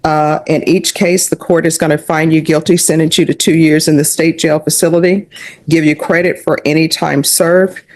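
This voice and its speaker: A woman's voice: sits low, flat delivery, normal speed, darkish tone. An American woman speaking as a judge in court, questioning and ruling in a firm, formal, matter-of-fact way.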